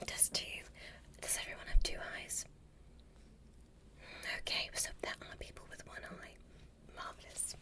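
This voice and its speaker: Woman to play courteous alien space doctor for ASMR roleplay; hushed whisper, unhurried, soothing instructions. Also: natural voice. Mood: calm, curious. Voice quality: soft breathy whisper. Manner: slow, soothing, gently instructing. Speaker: ASMR performer.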